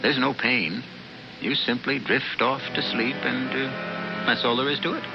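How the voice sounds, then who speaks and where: A man hosting a 1970s radio mystery show, narrating in a scripted, musing, ominous way with deliberate dramatic phrasing. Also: mid-range voice, steady tone, creaky. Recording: muffled old radio broadcast with loud music.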